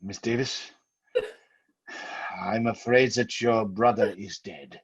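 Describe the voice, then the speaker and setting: A man's voice: lowish voice, steady tone, takes it slowly, slightly rough, highs cut off, heavily acted. A man playing a grave, resolute doctor in an old-time radio horror drama, earnest and commanding. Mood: solemn resignation. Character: grave, resolute male doctor facing supernatural siege.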